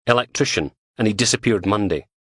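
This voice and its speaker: A man's voice: fairly low pitch, brisk, fairly bright tone, slightly rough, no room sound, studio quality. A male narrator voicing a weary, irritable male police detective with a cynical, grumbling manner.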